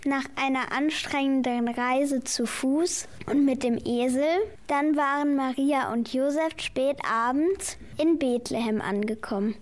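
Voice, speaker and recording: A woman's voice: high voice, unhurried, few pauses. A woman playing a patient Christmas angel in an amateur radio play, explaining the nativity story with careful reading.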